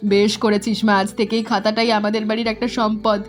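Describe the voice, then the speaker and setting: A woman's voice: mid-range voice, flat delivery, a little fast, natural voice. Lady voicing a caring village mom, welcoming and earnest, cartoon story, music faint behind. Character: village mother, hospitable and warm-hearted. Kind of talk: cartoon voice acting.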